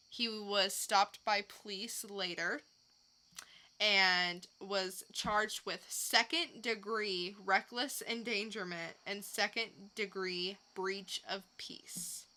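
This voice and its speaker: A woman's voice: mid-range voice, sharp tone. A woman co-hosting a podcast, recounting odd stories in casual chat with her partner. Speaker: podcast co-host.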